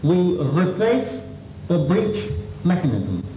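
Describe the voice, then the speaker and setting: A man's voice: sits high, big pitch swings, slow, mellow, roomy sound, soft background music, low-quality recording. A man giving a formal, methodical step-by-step instructional demonstration, on an old videotape copy. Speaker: weapons instructor.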